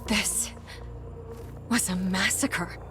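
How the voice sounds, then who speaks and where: A woman's voice: mid-range voice, fairly even intonation, very slow. An actress playing a determined, wary woman scientist stranded on an alien world in a video game, often musing breathily to herself. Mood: somber, determined. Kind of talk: video game cutscene acting. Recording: music behind the voice.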